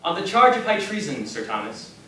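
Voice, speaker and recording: A man playing a blustering English nobleman in a period stage play, speaking formally and forcefully. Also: sits high, very animated, a little fast, full character voice. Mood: stern. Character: blustering English nobleman, lord presiding at trial. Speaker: stage actor. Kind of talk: theatrical period stage acting. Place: large theatre stage, indoors. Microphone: distant camera in the audience. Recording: echoey theatre from far off, background noise.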